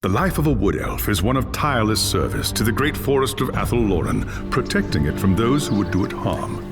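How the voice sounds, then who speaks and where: A man giving measured, dramatic scripted narration for a fantasy game in a storytelling manner. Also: lowish voice, sing-song. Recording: music behind the voice.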